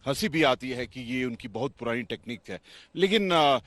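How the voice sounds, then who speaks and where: A male commentator speaks off the cuff about military matters in an interview. His voice sits at a medium pitch and he mixes in English terms, with faint ambient noise around him. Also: animated, moderate pace, a bit bright.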